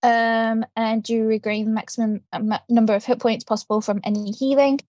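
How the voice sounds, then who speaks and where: A woman's voice: fairly high pitch, flat delivery, normal speed, a little mellow, no echo. A woman playing a tabletop game, talking casually and hesitantly as she thinks her turn through aloud.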